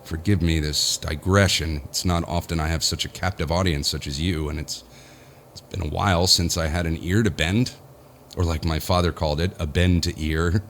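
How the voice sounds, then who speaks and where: Deep-voiced, spooked, rambling boss. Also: male voice, steady tone, fairly bright tone, a little hiss or hum. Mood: reflective, haunted. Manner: folksy, rambling, halting with repeated phrases. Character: spooked, rambling male business boss recounting his ordeal. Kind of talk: audio horror drama monologue.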